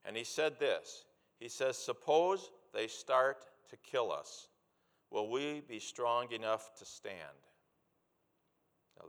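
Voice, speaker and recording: A mature man delivers a sermon in a mid-range voice, with long reverb from a big hall around every word. He speaks earnestly and deliberately, moving between storytelling and exhortation, and repeats key phrases to press them home. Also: medium pitch, fairly bright tone, clean tone. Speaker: preacher, former missionary.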